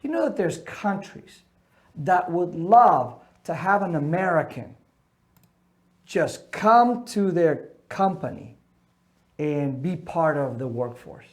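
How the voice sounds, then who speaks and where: A middle-aged man from Ecuador coaching veterans in a seminar talk, earnest and emphatic, his voice swinging between loud and soft. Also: fairly high pitch, very animated, takes it slowly. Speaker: military transition coach.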